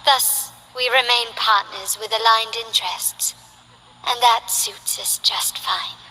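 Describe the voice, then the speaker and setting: A woman playing a poised, ambitious young noblewoman in a video game, speaking in an elegant, formal, measured way. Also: fairly high pitch, fairly even intonation, bright, forceful tone, a little hiss or hum, exaggerated voice, low-quality recording. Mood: composed, musing. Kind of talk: scripted video game character voice lines.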